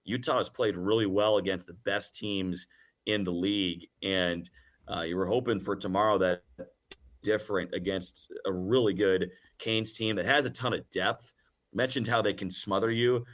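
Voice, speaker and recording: Guy doing a sports radio guest spot, lowish bright punchy voice, emphatic and chatty about the game. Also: fairly even intonation, normal speed. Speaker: sports radio guest, hockey play-by-play announcer. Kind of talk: casual sports radio interview.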